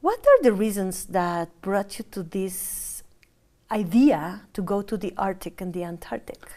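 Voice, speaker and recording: A woman's voice: medium pitch, big pitch swings, measured pace, a little mellow. A woman interviewing an artist, supportive, often repeating the guest's words back.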